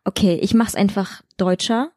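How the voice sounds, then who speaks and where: A young actress talks candidly and pragmatically as a podcast guest, and she laughs readily. Her voice is mellow and sits in the middle range for a woman. The recording is dry and close. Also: medium pitch, steady tone, moderate pace, dry recording. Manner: frank, pragmatic, laughs often.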